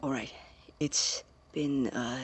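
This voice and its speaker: An actor playing a secretive, methodical male science student in an audio drama, dictating a tape diary with hesitant, precise wording in a gravelly, husky voice. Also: medium pitch, steady tone, takes it slowly, very noisy, lightly acted. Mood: guarded, hesitant.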